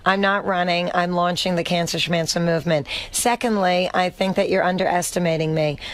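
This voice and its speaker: A woman's voice: on the low side, fairly even intonation, brisk. A woman, a radio studio guest and activist, speaking earnestly in long speechmaking stretches full of fillers and restarts. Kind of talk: casual radio talk.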